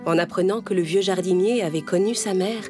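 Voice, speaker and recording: A woman's voice: fairly low pitch, fairly quick, music in the background. A woman storyteller reading a scripted children's tale as narration.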